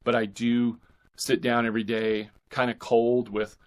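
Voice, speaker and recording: A man speaking as an author guest in a casual interview, with halting, thoughtful phrasing. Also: medium pitch, flat delivery, a little mellow.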